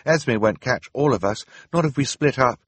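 Eldest sister, calm and resolute, firm steady delivery, husky, as a man reads her. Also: medium pitch, moderate pace, no echo, pitched above the performer's own voice, close to an everyday voice. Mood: determined.

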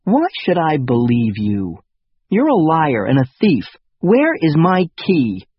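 A man's voice: on the high side, wildly swooping pitch, slow, slightly rough, dry recording, muffled recording, voice pushed up, full character voice. A man doing a fierce angry young girl. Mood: demanding. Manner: sharp, demanding.

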